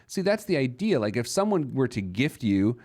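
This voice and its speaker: A man's voice: medium pitch, big pitch swings, moderate pace, darkish tone, no room sound, clean studio sound. A man hosting a personal finance show, giving conversational advice in an emphatic manner with rhetorical questions and joking asides.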